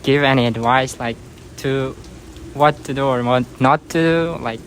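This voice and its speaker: A man's voice: mid-range voice, fairly even intonation, moderate pace, a bit bright, slight background noise. A young man asking questions in a hesitant, informal way as a casual interviewer. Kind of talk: casual street-style interview.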